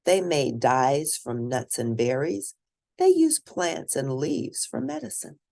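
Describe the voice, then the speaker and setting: A woman reading a children's picture book aloud as host, in expressive, deliberate storytelling. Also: rumbling low, creaky.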